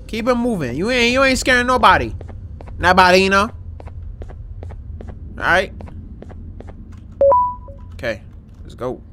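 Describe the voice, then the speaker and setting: A man in his twenties giving casual, slangy, jokey gaming commentary with some swearing and excitable reactions. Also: high-pitched, a little slow, long pauses. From Dominican background. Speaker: gaming video host. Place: indoor room, gaming setup. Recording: noticeable background game noise.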